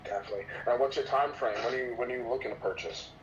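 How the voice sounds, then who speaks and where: A man's voice: medium pitch, racing, crowd noise. Male real estate trainee, polite, hesitant; dull lo-fi recording. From New York. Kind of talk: role-play sales call. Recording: dull muffled sound with faint noise.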